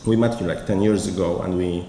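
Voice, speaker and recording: A man, a curator giving a conference lecture from a prepared paper, speaking haltingly with many pauses. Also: deep voice, steady tone, dark tone. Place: lecture hall. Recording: echoey hall, faint background noise.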